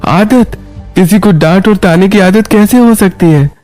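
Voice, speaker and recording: A man voicing a gentle, concerned young husband in a Hindi moral story, reassuring and considerate. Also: sits high, a little slow, soft background music.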